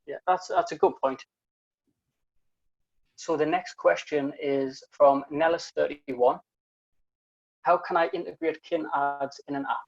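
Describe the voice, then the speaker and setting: A man's voice: a little high, fairly even intonation, measured pace, vocal fry, pauses often, lo-fi sound. A young man from the UK with a broad British accent, reading out community questions over a video call with a friendly manner and lots of ums. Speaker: community council member asking questions. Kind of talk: casual video call interview. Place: small room at home. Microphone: earphone headset on video call.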